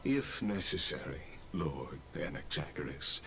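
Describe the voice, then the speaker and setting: An actor playing a courteous, stately, inhuman council elder in a video game, speaking formally and deferentially. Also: male voice, very deep voice, lively intonation, a little hiss or hum, full character voice. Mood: courteous, solemn. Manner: formal, deferential, measured speech with soft chuckles. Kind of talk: scripted video game voice acting. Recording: game music behind the voice.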